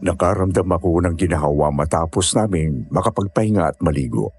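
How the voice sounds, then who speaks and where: A man's voice: low voice, brisk, a little mellow, husky, soft background music. A man playing an uneasy young climber, narrating a scary mountain ordeal in scripted first person.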